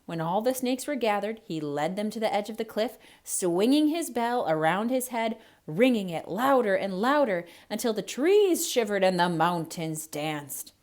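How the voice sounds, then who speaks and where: A woman reading a children's story aloud in a theatrical, expressive way with emphatic delivery. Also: medium pitch, normal speed, few pauses. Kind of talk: theatrical read-aloud narration.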